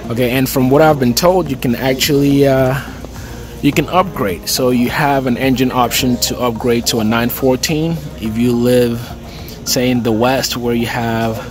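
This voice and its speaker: A man talks his viewers through an aircraft in an easygoing, chatty way, dropping in plenty of "okay" and "uh". He is filming on a handheld phone inside a large hangar at an expo. Music plays clearly underneath the voice. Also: mid-range voice. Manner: relaxed, chatty, lots of "okay" and "uh". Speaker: aviation vlogger. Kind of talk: casual walkaround tour. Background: music and crowd chatter.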